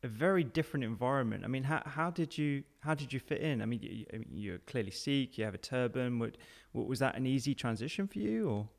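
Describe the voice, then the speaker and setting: A man's voice: mid-range voice, expressive, fast, gravelly, hardly any pauses. A man hosting a conversational podcast interview in an informal, enthusiastic way.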